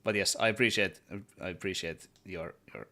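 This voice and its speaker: A man's voice: sits low, normal speed, sharp tone. A man with a Swedish accent, a game developer chatting casually on a livestream and thinking aloud as he works, often repeating words. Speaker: solo game developer streaming. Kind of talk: casual livestream chat while working.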